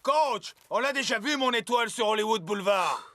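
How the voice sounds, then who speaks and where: A man's voice: high voice, animated, a little fast, bright, forceful tone, no room sound. A man playing a vain, showy footballer who craves stardom, self-satisfied and theatrical, in a French cartoon dub. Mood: smug.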